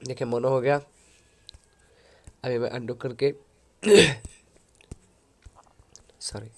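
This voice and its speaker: A man hosting a software tutorial, explaining an audio editor step by step into a headset mic. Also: mid-range voice, takes it slowly. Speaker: tutorial host.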